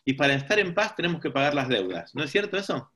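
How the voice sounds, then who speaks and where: A man's voice: on the high side, big pitch swings, fast, bright, forceful tone. A man giving an informal teaching talk to a group, reflective and often checking in with rhetorical questions. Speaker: seminar teacher.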